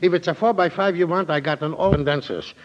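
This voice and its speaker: A chatty actor's small-time salesman with a fairly high voice and folksy patter. It is 1940s radio acting on a muffled, noisy recording. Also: fast talker, close to an everyday voice. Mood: eager. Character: eager, chatty male camera shopkeeper, small-time salesman.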